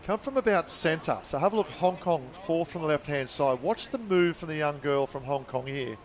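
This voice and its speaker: Excitable male sports commentator. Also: a little high, normal speed, slight background noise. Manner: excitable, calls the action in bursts. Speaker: play-by-play commentator.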